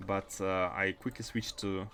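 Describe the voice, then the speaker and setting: A man's voice: low-pitched, flat delivery, a little slow, sharp tone, slight background noise. A man with a Russian accent chatting casually on a gaming livestream, often repeating and restarting his phrases as he plays. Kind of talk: casual livestream chat while playing.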